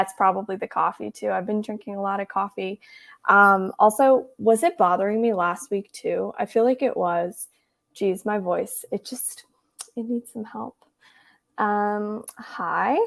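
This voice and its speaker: A hoarse young woman in her twenties hosting a casual reading livestream, chatty and giggly as she reads viewer comments, trying to talk more quietly than usual. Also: medium pitch. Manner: tries to speak softer than usual. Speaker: booktube livestream host. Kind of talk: casual chat with live viewers.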